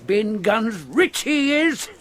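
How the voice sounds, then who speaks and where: An actor playing a half-mad old castaway, rambling and repeating himself in a radio drama. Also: male voice, high-pitched, very animated, slow, fairly bright tone, full character voice. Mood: sly, gleeful. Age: older. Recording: noisy radio drama with outdoor ambience.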